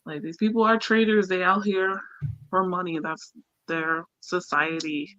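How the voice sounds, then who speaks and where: Bright-voiced woman, wry and informal, dull audio. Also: on the low side, steady tone, measured pace, dry recording. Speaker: book discussion panelist. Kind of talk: casual book chat. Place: online group live stream.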